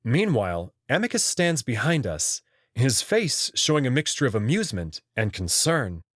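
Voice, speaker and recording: A man's voice: mid-range voice, big pitch swings, moderate pace, fairly bright tone, no room sound, clean studio sound. A man narrating a scripted story expressively as the host of a visual novel reading channel.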